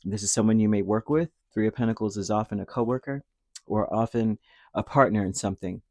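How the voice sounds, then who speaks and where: A man giving a casual spoken tarot reading, rambling and reassuring, his throat dry and a little hoarse after a cold. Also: fairly low pitch, a little fast, dark tone, no room sound. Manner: rambling, reassuring, with many fillers and restarts. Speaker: tarot reader.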